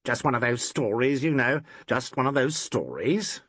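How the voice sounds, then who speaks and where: A man's voice: medium pitch, animated, moderate pace, a bit bright, no room sound, voice pushed up. A man voicing a needling, theatrical actor who coos and teases. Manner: teasing, cooing.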